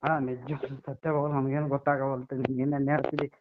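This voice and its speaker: A man's voice: mid-range voice, flat delivery, quick pace, a little hiss or hum. A man with a North Karnataka accent chatting casually on a phone call, baffled and protesting in exasperation. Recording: dull, band-limited phone call.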